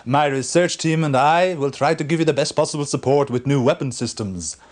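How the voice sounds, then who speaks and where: An actor playing an eager, courteous military research professor in a video game briefing, explaining things politely. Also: male voice, fairly high pitch, brisk, close to an everyday voice. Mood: courteous, explanatory. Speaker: research scientist character in a game cutscene.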